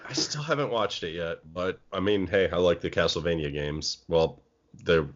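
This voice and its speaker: A man's voice: sits low, moderate pace, highs cut off. A man co-hosting a podcast in casual discussion, rambling with frequent restarts. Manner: rambling, many restarts and "it's like". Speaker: podcast co-host.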